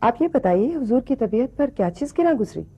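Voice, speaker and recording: An actress playing a courtly middle-aged courtesan in a screen drama, deferential and slyly flattering in ornate, elaborately polite speech. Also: female voice, a little high, a little fast, breathy edge, heavily acted. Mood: coaxing deference. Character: courtly, flattering, sly courtesan mother quoting poetry. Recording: dull, lo-fi television film sound.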